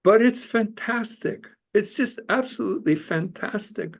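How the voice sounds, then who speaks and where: A man's voice: sits high, a little slow, vocal fry. An older Canadian-born man, an immigration lawyer and author, talking earnestly as a podcast guest with many fillers and restarts. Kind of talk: casual interview conversation.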